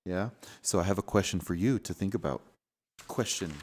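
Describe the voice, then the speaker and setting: A man's voice: low voice, normal speed, darkish tone, slight background noise. A man reading a storybook aloud and chatting with children as their father, with an encouraging, patient manner.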